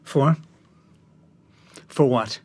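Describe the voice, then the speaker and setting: A morally troubled middle-aged husband in a radio drama. His voice is rough and husky. He answers tersely, questioning and resisting what is asked of him, reluctant but principled. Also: mid-range voice, drawn-out words, a little mellow. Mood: firm, reluctant.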